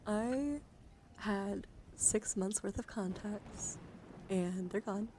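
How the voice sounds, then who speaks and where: A soft-spoken woman live streamer doing a casual ASMR card show-and-tell, chatting and often dropping into a whisper. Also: mid-range voice, extremely slow, slight background noise.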